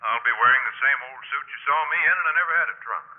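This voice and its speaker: An actor playing a wry, teasing young Army veteran at college in a 1950s radio drama. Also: male voice, medium pitch, very fast, close to an everyday voice. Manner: dry, teasing. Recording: muffled 1950s radio broadcast.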